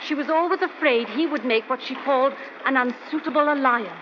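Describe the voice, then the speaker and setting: Woman acting a high-voiced, genteel young lady. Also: a little fast, natural voice. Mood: flustered, earnest. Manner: genteel, flustered. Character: Miss Kitty, earnest, anxious young lady. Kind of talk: old-time radio drama acting. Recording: muffled, noisy old radio recording.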